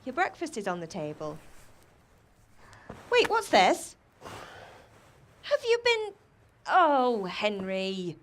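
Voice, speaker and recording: A woman's voice: mid-range voice, wildly swooping pitch, extremely slow, long pauses, slight background noise, heavily acted. An actress playing a fussing, scolding mother who rouses her grown son, in a game cutscene. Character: scolding, caring peasant mother. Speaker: mother and blacksmith's wife. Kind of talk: video game cutscene acting.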